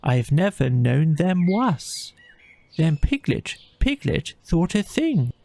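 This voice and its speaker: A man voicing a gentle, thoughtful bear who fancies himself a poet, speaking hesitantly in a storybook audio play. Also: a little high, sing-song, takes it slowly, vocal fry, pitched above the performer's own voice, exaggerated voice. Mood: reciting proudly. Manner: hesitant, thoughtful, with small ums. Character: gentle, musing bear of little brain, amateur poet.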